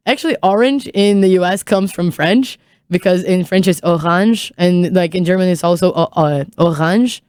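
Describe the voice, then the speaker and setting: Young Brazilian woman with smooth voice. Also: fairly low pitch, normal speed, a little mellow, no room sound, clean studio sound. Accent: Brazilian Portuguese. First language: Portuguese. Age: young adult. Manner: chatty, frequent "like" and "you know", light laughs. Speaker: podcast guest. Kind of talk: casual conversation.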